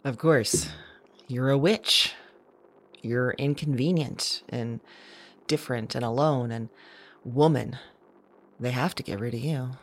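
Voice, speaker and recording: A woman playing a wry, unsettled woman who records her night thoughts in an intimate audio drama monologue, slow and deliberate. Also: extremely low pitch, full character voice. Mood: knowing, bitter. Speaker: audio drama writer and performer. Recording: music bed behind voice.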